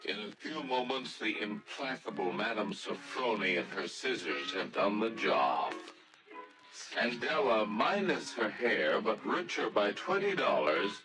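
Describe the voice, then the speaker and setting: Man, radio drama narrator, theatrical, widely swooping intonation, unhurried pace, music behind. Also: fairly low pitch, very animated, rough recording. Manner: theatrical, deliberate. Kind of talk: dramatic scripted storytelling, old-time radio.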